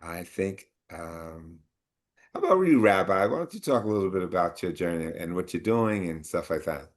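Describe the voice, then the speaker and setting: A man with a deep, low voice tells his own story at a community panel and then hands off to his guests. He talks haltingly, with many pauses, fillers and restarts. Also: animated. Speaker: panel moderator. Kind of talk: personal testimony then moderating a panel.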